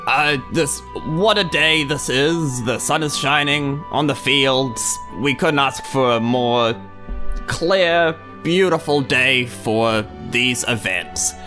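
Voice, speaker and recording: A man voicing a pompous, bumbling champion hero in a tabletop game, speaking grandly and haltingly. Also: mid-range voice, pushed and bright, pitched below the performer's own voice. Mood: grand, self-important. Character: pompous, bumbling old-fashioned hero, champion of village games. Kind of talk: ceremonial speech in a tabletop roleplay. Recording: music behind the voice.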